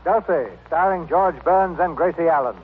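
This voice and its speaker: A man's voice: on the high side, moderate pace, noisy background. A man announcing and narrating from a script in 1930s radio style. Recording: tinny old radio broadcast, loud music.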